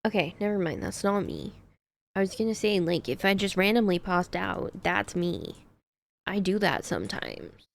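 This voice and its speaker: A woman's voice: lowish voice, big pitch swings, normal speed, gravelly. A woman streamer chatting casually to herself while she plays a game. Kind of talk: casual gameplay commentary.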